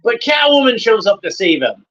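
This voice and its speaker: A man's voice: very high-pitched, sing-song, normal speed, a bit bright, lo-fi sound. A man giving casual running commentary while watching an episode, chatty and fond, pointing out details as they come up.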